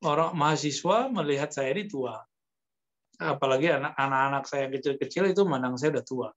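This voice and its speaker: A man giving a conversational Islamic lecture as a preacher, explaining in a halting way with pauses. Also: medium pitch, a bit bright. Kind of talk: religious lecture teaching from a book, conversational. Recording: dull lo-fi lecture recording.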